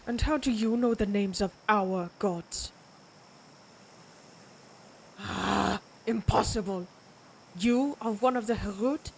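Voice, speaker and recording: A woman's voice: mid-range voice, creaky, a little hiss or hum, low-quality recording. A woman playing a proud, menacing vampire warrior of ancient Germanic tribes in a dramatic audio roleplay, speaking in a taunting, predatory way. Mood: outraged. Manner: slow, taunting, theatrical, predatory.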